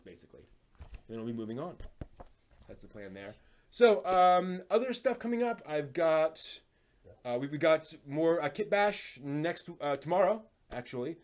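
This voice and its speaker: A man's voice: medium pitch, a little mellow. Casual, rambling male stream host. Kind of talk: casual live stream chat.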